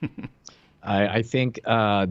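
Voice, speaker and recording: A man's voice: fairly low pitch, steady tone, drawn-out words, smooth, no room sound. A man in his thirties co-hosting a podcast in casual chat, playful and prone to repeating words, on a close desk mic. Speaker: podcast co-host. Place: room at home, on a video call. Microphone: desk microphone up close. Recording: dull, close dry mic.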